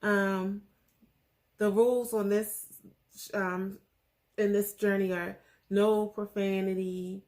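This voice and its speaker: A woman in her forties talking earnestly about her faith in a casual vlog, pausing often with fillers. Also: medium pitch, level tone, a little mellow. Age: 40s. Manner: earnest, halting with many fillers. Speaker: vlogger.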